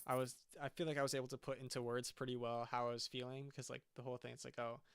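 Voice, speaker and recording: A man's voice: medium pitch, level tone, very fast, clear, resonant tone, dry recording, clean studio sound. A young man, a band's singer, chatting casually on a livestream and often stopping to restart his sentences. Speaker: singer and band frontman.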